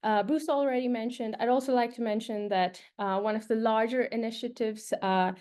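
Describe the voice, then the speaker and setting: A woman's voice: fairly high pitch, fairly even intonation, brisk, a little mellow, clean tone. Young woman scientist giving casual talk. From Nepal. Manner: casual, many fillers and restarts. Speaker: postdoctoral researcher. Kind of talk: informal presentation to prospective postdocs.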